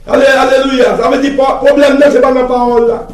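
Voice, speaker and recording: High-pitched Haitian preacher, a man. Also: level tone, brisk. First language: Haitian Creole. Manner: emphatic, repetitive, punctuated with exclamations of praise. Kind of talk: fervent sermon mixing Creole and French. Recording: echoey room, distant mic, crowd noise.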